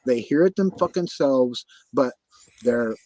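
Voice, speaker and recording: A man's voice: mid-range voice, expressive, measured pace, mellow, low-quality recording. A man hosting a livestream, talking casually in a profane, repetitive rant. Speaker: livestream host.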